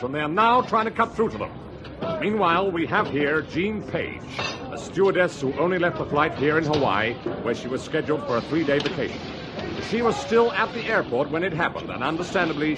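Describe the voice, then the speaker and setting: An actor playing a brisk, businesslike field news reporter in a radio drama. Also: male voice, on the high side, natural voice. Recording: very noisy outdoor scene, vehicles.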